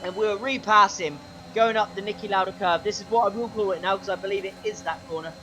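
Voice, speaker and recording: A man commentating his own racing game run, excitable play-by-play with a sore, strained throat. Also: fast talker, slight background noise, poor audio. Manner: excitable play-by-play, pitch jumps when overtaking. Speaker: gaming channel host.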